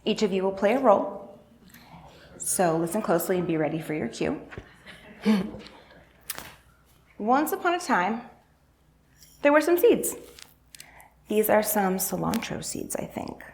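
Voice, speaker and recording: A woman's voice: mid-range voice, animated, slow, vocal fry, long pauses, a little mellow. Woman with a mid-range voice, informal church educator, encouraging, question-led delivery. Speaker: church faith and justice coordinator. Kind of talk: interactive story talk for all ages.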